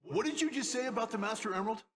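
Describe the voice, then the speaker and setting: An actor playing a proud, hot-headed young echidna guardian, blunt and earnest, in a video game. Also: male voice, very high-pitched, animated, very fast, a bit bright. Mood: stern. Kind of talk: video game cutscene acting. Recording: long reverberant hall.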